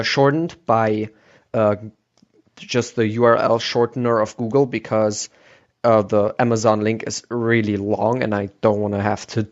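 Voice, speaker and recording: Man hosting a podcast, dull lo-fi audio. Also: medium pitch. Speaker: podcast host interviewing a guest. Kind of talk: casual conversational interview. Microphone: voice call recording. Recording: dull lo-fi internet call audio.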